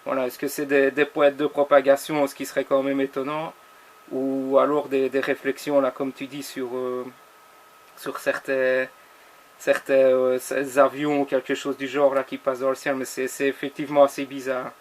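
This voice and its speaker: Even-toned Belgian man, medium pitch. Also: moderate pace. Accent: Belgian French. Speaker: amateur radio operator. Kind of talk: casual ham radio chat.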